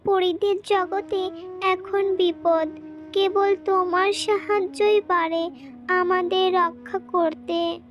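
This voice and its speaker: A woman as an extremely high-pitched fairy girl. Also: level tone, slow, dull audio, faint music behind. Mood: earnest, pleading. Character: earnest young girls and fairies of a magic forest. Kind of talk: animated fairy-tale dialogue.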